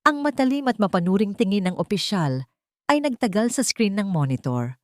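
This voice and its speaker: A woman with a Filipino accent reads a scripted dramatic story in a measured, solemn storytelling manner. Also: mid-range voice, sing-song, dry recording, clean studio sound. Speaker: audio drama narrator.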